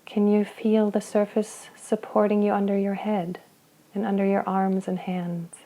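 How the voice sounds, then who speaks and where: A woman guiding a body scan meditation, speaking slowly and gently with long pauses between phrases. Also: medium pitch, monotone. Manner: slow, soft, gentle guidance with long pauses. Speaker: meditation guide.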